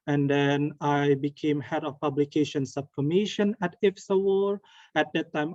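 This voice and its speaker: A young man from Indonesia giving an earnest online presentation over a video call, with pauses and restarts. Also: fairly high pitch, warm tone, dry recording. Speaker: webinar presenter. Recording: dull lo-fi call audio.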